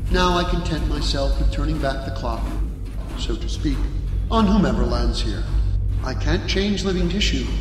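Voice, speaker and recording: An actor in an audio drama playing a courteous ancient artificial intelligence, speaking in a measured, polite, unhurried way. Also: male voice, a little high. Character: courteous ancient male-voiced artificial intelligence, calm alien-planet guardian. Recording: echoey hall reverb, music behind.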